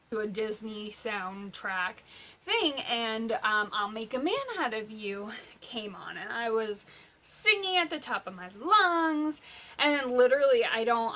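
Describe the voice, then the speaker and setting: A young woman hosting a pop culture vlog, chatting casually in an animated, halting, informal way with emphatic asides. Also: fairly high pitch. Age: young adult. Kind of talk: casual opinionated vlog chat.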